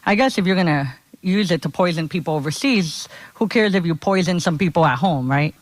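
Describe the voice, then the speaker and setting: A woman's voice: fairly low pitch, animated, a little fast, no echo, rough recording. A woman from Honduras giving a conversational talk at a conference as a community organizer, often checking in with her listeners.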